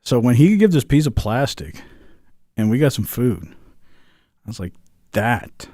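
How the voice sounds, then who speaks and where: A man from South Korea co-hosting a casual livestream chat. He rambles with halting restarts, his pitch sitting in a middle range. The sound is dry and close. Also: sing-song, mellow, no echo. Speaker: co-host.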